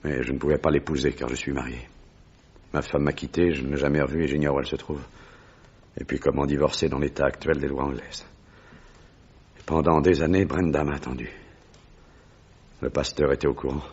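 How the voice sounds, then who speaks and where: A very deep, rough-edged male voice in a muffled, lo-fi radio drama, a grave and proud explorer. He speaks formally and heavily, like someone haunted by a lost love. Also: fairly even intonation, a little fast, pauses often, a little mellow, full character voice. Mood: grave, sorrowful. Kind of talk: French radio drama acting. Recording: dull, lo-fi old radio recording.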